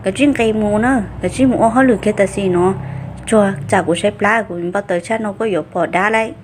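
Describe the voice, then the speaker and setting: A woman's voice: mid-range voice, fast talker, a little hiss or hum, close to an everyday voice. A woman playing a female character in a folk-tale cartoon about a family. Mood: conversational.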